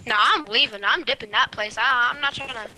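A woman's voice: fairly high pitch, a little fast, sharp tone. A woman chatting casually as a teammate in an online game. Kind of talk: casual gaming chat. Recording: noisy online voice chat.

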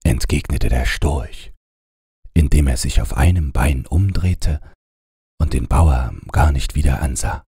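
Very deep, raspy male storyteller. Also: unhurried, mellow, no echo, clean studio sound. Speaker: audiobook narrator. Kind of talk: scripted bedtime fairy-tale narration.

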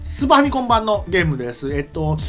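A middle-aged man co-hosting a casual talk show at a studio mic. He talks quickly with very lively pitch, rambling as he hunts for words. Faint but clear music runs behind him. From Nagano, Japan. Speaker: show co-host, game writer. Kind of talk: casual chat. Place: small indoor studio set. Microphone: desk microphone on arm.

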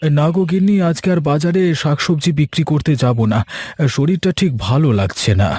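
A man voicing a weary, prudent village vegetable seller talking to his wife in a cartoon, homely and unhurried. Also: fairly high pitch, expressive, a little mellow, dry recording. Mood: tired. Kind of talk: Bengali cartoon story dialogue.

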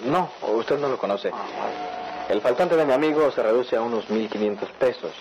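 A man's voice: medium pitch, lively intonation, moderate pace, a little mellow, natural voice, low-quality recording. An actor playing an anxious, polite young man in a radio soap, speaking hesitantly and deferentially. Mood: nervous, polite. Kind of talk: radio soap opera acting. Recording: old radio drama, faint noise.

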